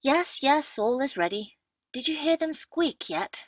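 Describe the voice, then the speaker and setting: A woman's voice: high voice, lively intonation, measured pace, dry recording. Bossy chatty captain guy done by a woman, old stage comedy, lo-fi and very dry. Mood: brisk, bossy. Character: bustling, boastful male captain, scheming and talkative. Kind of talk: amateur audio play of Restoration comedy. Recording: dull, lo-fi, dry audio.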